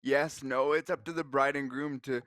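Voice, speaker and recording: A man's voice: medium pitch, steady tone, normal speed, a bit bright, no echo. Opinionated man, dry studio sound. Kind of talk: casual opinionated chat.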